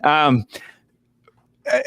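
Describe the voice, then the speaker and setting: A man's voice: extremely slow, fairly bright tone, dry recording. A man hosting a casual livestream chat, rambling and laid-back, swearing now and then. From Australia. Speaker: livestream host.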